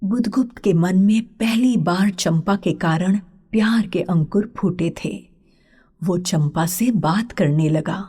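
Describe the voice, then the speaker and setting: A woman narrating a literary story as a scripted storyteller, in a husky, breathy voice. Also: fairly low pitch, slow, warm tone.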